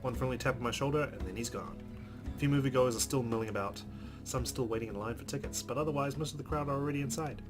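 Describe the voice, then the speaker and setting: Male gaming host narrating a visual novel, chatty and expressive, clear music bed underneath. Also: medium pitch, fast talker. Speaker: let's-play host. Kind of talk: reading visual novel narration aloud with casual asides. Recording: music playing behind voice. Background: game music with guitar.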